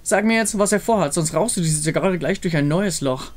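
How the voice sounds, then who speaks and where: A man's voice: high-pitched, brisk, close to an everyday voice. A man voicing a bold, threatening pirate captain woman from a game story, taunting and commanding. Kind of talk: fan reading of a game visual novel.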